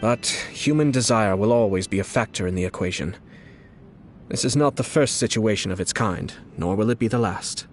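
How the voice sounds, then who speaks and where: An actor playing a composed, shrewd young nobleman in a game, courteous and measured, with polished formal speech and a quietly scheming, teasing air. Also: lowish voice, soft background music, lightly acted. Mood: calm, calculating. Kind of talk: scripted game dialogue.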